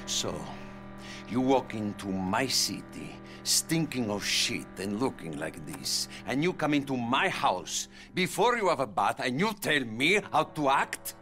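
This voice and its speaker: An actor with an Italian accent playing a pompous, scornful middle-aged crime boss in a video game. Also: male voice, medium pitch, big pitch swings, a little slow, over music. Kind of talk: video game cutscene acting.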